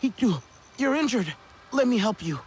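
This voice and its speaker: Earnest young alien man, high voice with animated swings, anxious, video game drama. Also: normal speed, a little hiss or hum. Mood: anxious, gentle.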